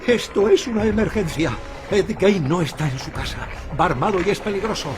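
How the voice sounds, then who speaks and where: Radio drama sheriff, played by a man: high, wildly varied delivery, panicked, foul-mouthed, commanding, music underneath. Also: animated, brisk, background noise. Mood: urgent. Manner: urgent, shouting commands. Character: panicked, foul-mouthed male sheriff searching with gun drawn.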